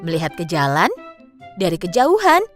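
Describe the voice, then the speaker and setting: A woman narrating a scripted children's fable in an expressive storytelling style. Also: lowish voice, normal speed, soft background music.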